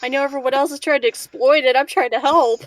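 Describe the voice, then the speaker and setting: A woman with a fairly high voice banters casually as a player in a tabletop game. She is giggly and exasperated, with plenty of swearing, and the sound is dry and close. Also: fairly even intonation, fast, no echo, rough recording. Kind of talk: casual in-character improvised banter.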